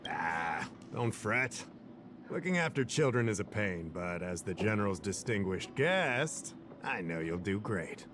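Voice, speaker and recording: A somewhat low male voice for an anime game. He is a composed official who instructs politely and with quiet caution. Ambient noise is clearly audible behind him. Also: big pitch swings, a little slow. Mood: reassuring.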